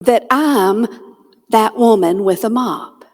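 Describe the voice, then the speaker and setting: A woman with a Southern US accent voicing a humble, quiet older man who works in hospital maintenance, speaking softly and earnestly. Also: a little high, slow. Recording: long reverb of a large hall.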